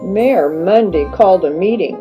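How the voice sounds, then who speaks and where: A woman reading a children's story aloud as an expressive narrator, stretching words for emphasis. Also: fairly low pitch, moderate pace. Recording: dull lo-fi recording with music behind. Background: music.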